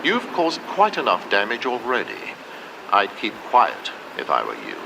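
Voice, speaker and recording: A man's voice: mid-range voice, wildly swooping pitch, moderate pace, gravelly, background noise. A man playing a cold commanding father. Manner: clipped, formal, commanding.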